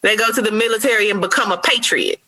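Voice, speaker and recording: A woman's voice: mid-range voice, fast, bright, forceful tone. An American woman guesting on a history podcast, chatting casually and giving candid, opinionated takes.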